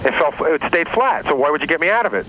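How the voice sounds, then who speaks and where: A man's voice: a little high, expressive, quick pace, bright, forceful tone, lo-fi sound, slight background noise. A man arguing insistently and with exasperation in a heated phone dispute, in a radio playback. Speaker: caller confronting his stockbroker.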